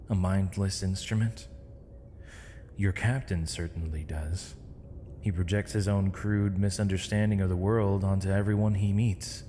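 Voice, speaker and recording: An actor playing a proud, weary captive mage in an ASMR roleplay, with eloquent, theatrical and measured formal diction. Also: male voice, low-pitched, warm tone. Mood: probing, intimate. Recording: reverberant hall, faint noise, dull lo-fi sound.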